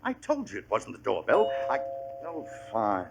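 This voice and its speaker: Exasperated radio sitcom dad, wildly swooping. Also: male voice, lowish voice, wildly swooping pitch, moderate pace, vocal fry, background noise, exaggerated voice. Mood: weary impatience. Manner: grumbling, put-upon, bursting into irritated outbursts. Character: exasperated middle-aged suburban father trying to watch television. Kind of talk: old-time radio situation comedy acting. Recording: vintage radio broadcast recording.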